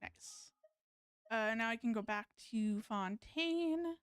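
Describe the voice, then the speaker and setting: A woman game streamer with a smooth, fairly high voice and a dry, close sound. She talks casually, in a halting way, as if thinking aloud. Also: animated, no echo. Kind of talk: casual live gaming stream chat.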